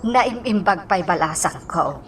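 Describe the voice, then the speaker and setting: A woman's voice: fairly low pitch, animated, a little slow, fairly bright tone, slight background noise. Worried motherly woman, slightly distant. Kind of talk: Ilocano radio drama acting. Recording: music behind, slightly distant from mic.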